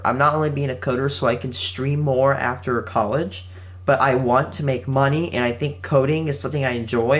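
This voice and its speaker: An eighteen-year-old man talks casually to his viewers from home, in a voice of ordinary middle pitch. He rambles and restarts his sentences often, with plenty of fillers. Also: fairly bright tone. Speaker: channel host. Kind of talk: casual spoken update to viewers. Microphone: home setup with game console running nearby.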